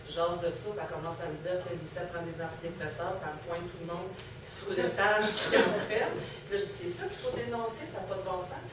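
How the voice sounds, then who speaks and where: Québécois woman testifying in an echoey, noisy room. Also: lowish voice. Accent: Québécois French. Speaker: workshop participant, former student. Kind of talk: informal personal testimony. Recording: distant, very echoey room with clear noise.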